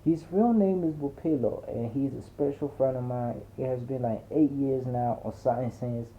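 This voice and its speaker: Phone-like recording of a deep-voiced male narrator. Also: moderate pace, slight background noise. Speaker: audiobook narrator. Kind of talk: dramatic first-person audiobook narration with slangy conversational delivery. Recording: muffled narrow phone-like audio.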